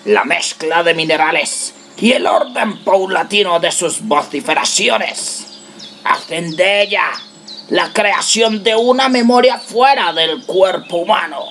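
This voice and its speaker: Slow, high-pitched, creaky man acting as explorer-narrator. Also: fairly bright tone, slight background noise. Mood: solemn. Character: male explorer solemnly narrating prehistory. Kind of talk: scripted radio drama narration.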